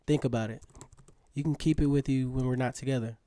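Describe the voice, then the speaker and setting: A man's voice: medium pitch, fairly even intonation, fairly quick, mellow, close to an everyday voice. A man playing an earnest, lovestruck seventeen-year-old boy reading his own letters aloud in a radio drama, with a poetic manner. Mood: earnest, pleading.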